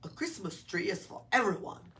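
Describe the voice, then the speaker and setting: A woman voicing a gruff, hearty Nutcracker ornament with a Russian accent for a storybook, recorded at a distance. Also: mid-range voice, very animated, normal speed, a bit bright. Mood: jovial. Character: gruff, jolly, proud Nutcracker ornament, big man. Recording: distant mic in noisy room.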